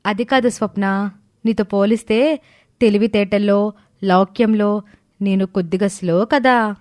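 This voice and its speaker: A woman's voice: medium pitch, steady tone, unhurried, darkish tone, dry recording, close to an everyday voice. Woman as a timid young bride, dry studio sound. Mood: pleading. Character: timid, slow-witted young bride seeking her sister's help. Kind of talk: cartoon family drama acting.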